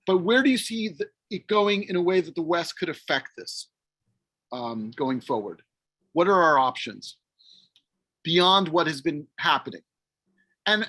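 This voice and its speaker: A man's voice: high voice, a bit bright, no room sound. A man moderating a panel, asking long, hesitant, rambling questions.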